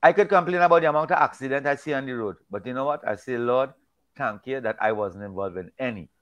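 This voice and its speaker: A man's voice: mid-range voice, fast, dull audio. Older guy w a trinidadian accent on a webcam call, folksy and rambling, keeps repeating words. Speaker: discussion guest. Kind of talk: casual faith discussion. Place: indoors at home, virtual backdrop. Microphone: video call webcam.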